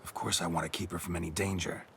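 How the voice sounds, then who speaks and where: An actor playing a weary, stoic monster hunter in a video game, blunt and guarded, with faint ambience behind him. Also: male voice, low voice, level tone, fast, vocal fry, slight background noise. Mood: earnest, guarded. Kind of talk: video game dialogue acting.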